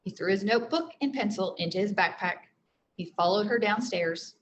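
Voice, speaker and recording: A woman reads aloud as a teacher would to young students. She explains things in simple terms and checks in with her listeners. Her pitch is middling, and there is clear background noise in the recording. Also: moderate pace, dull audio. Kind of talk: read-aloud storytelling for children.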